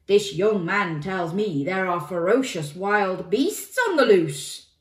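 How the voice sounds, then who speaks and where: A woman acts out a friendly bear from a children's storybook. The delivery is slow and emphatic, kindly yet easily worried, in an echoey room. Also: mid-range voice, lively intonation. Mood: nervous. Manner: reassuring, then timid. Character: friendly bear who turns nervous and fearful.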